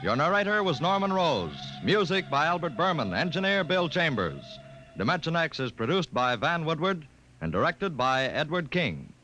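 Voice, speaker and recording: An American man reads scripted credits in a polished 1950s radio manner. His voice is fairly high with lively intonation, over clear background music. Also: normal speed, poor audio. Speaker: radio announcer reading credits. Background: orchestral music.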